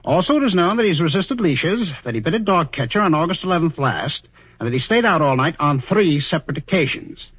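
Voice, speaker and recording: Officious clerk, pedantic delivery, old radio drama, dry muffled recording. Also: male voice, a little high, lively intonation, brisk, fairly bright tone, husky, no echo. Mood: officious, firm. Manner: pedantic, bureaucratic. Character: officious, fussy male clerk of a celestial bureau. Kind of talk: 1940s radio acting. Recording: dull vintage 1940s radio recording.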